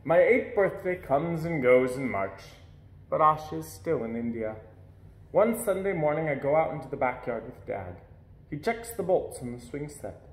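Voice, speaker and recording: A man reading a children's story aloud in an expressive narrating style. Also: fairly high pitch, normal speed. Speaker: storybook reader. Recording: echoey room.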